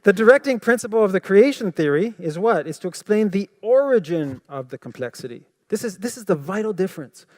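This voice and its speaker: A man's voice: sits high, expressive, brisk, hardly any pauses. A man whose first language is French, lecturing and explaining with rhetorical questions, in a played clip. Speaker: creationist speaker in a played clip. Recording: hall reverb.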